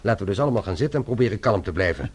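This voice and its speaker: An actor playing a calm, authoritative French police inspector in a radio play, formal and courteous, using French phrases. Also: male voice, on the low side, very fast, slightly rough. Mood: firm, calming. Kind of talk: radio play acting in Dutch.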